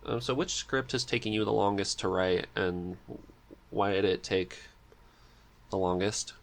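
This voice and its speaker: A man hosts a casual interview in a low-pitched voice. He takes his time with each phrase, agreeing readily and asking simple questions. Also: unhurried, vocal fry. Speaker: podcast host, interviewer.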